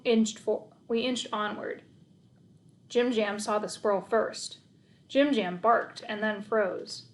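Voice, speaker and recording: A woman narrating a children's story aloud in an expressive read-aloud style. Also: mid-range voice.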